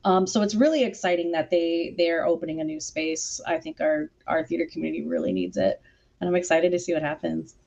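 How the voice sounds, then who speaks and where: A woman's voice: fairly low pitch, expressive, fairly quick, gravelly, a little mellow. A woman chatting casually in a panel conversation. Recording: dull, lo-fi call audio.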